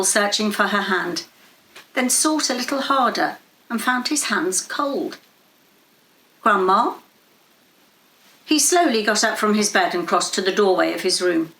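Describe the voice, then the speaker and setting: A woman reading literary fiction aloud in a measured, dramatic storytelling manner. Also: medium pitch, fairly bright tone, echoey room, low-quality recording. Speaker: story reader. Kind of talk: scripted literary narration.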